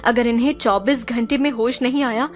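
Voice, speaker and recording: Composed woman doctor, higher voice, acted. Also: steady tone, normal speed, faint music behind, close to an everyday voice. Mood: grave. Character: grave, composed female doctor. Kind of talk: audio drama acting.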